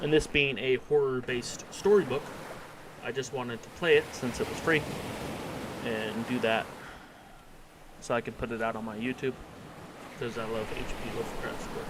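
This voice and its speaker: A man's voice: steady tone, moderate pace, pauses often. A man giving casual live commentary as a game streamer, with joking, off-the-cuff asides. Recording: loud rumbling background noise.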